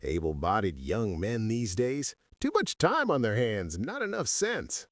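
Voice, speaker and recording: A man's voice: lowish voice, huge pitch swings, a little slow, a bit bright, no room sound, clean studio sound, full character voice. A man voicing a stubborn, blustery old husband who grumbles through a comic radio skit. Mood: grumbling, stubborn. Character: grumpy, stubborn old husband, cigar-smoking curmudgeon.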